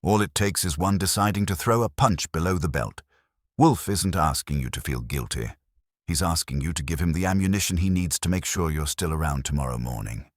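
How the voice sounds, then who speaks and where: A streetwise detective's assistant in an audio mystery, voiced by a man. He is blunt and protective, with a wisecracking, slangy turn of phrase. The voice is very deep and husky, with a lively swing. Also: brisk, dry recording, studio quality. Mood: wry, blunt.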